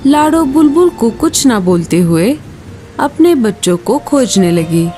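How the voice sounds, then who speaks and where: A woman narrating a children's cartoon story in an expressive, singsong way and speaking to young viewers. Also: a little high, unhurried, faint music behind.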